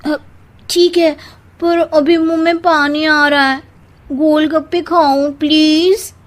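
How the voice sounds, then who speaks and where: A woman's voice: high voice, level tone, takes it slowly. A woman voicing a stubborn, whiny young bride in a cartoon comedy, speaking in a dramatic, pleading and petulant way. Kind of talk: Hindi cartoon comedy dubbing.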